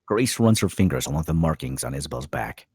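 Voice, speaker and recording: A man's voice: a bass voice, animated, brisk, darkish tone, breathy edge, no room sound, clean studio sound. A man reading stage directions as the narrator of a screenplay table read.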